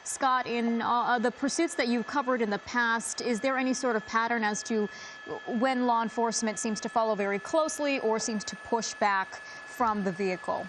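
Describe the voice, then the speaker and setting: Higher-pitched woman in halting live news commentary. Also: a bit bright, slight background noise, rough recording. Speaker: news anchor.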